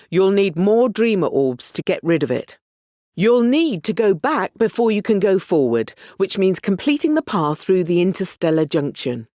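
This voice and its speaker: Encouraging video game mentor lady, wry n instructive, really lively up and down delivery, dry sound. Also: medium pitch, dry recording. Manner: expressive, instructive, gently apologetic. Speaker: game guide character voice. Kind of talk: scripted video game character lines.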